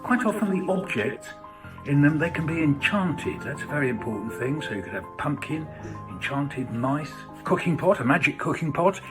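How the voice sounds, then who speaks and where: A man's voice: fairly high pitch, very animated, normal speed. A man giving an informal educational talk in a conversational, chatty way, often posing questions to his listeners. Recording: roomy, distant mic with music.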